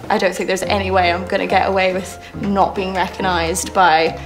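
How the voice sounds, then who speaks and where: A woman talking excitedly to camera about appearing in a furniture catalogue. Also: medium pitch, fairly quick, over music, rough recording. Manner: excited and giggly. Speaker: woman cast as a model in a catalogue ad. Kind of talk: casual interview to camera.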